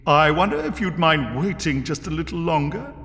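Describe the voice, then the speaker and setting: A man's voice: fairly high pitch, sing-song, normal speed, a bit bright, soft background music, voice pushed up. Man as a breezy British adventurer, reverberant. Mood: persuasive, wry. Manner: breezy, polite, wry British turns of phrase. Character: breezy, eccentric, courteous male adventurer, wryly persuasive. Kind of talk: dramatised audiobook reading.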